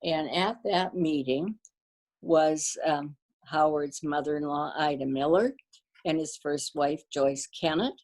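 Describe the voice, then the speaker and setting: An older woman, born to a Danish-speaking family, reminiscing about her community in a casual interview, pausing often with fillers and restarts. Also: deep voice. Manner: warm storyteller, halting with fillers and restarts. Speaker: guest.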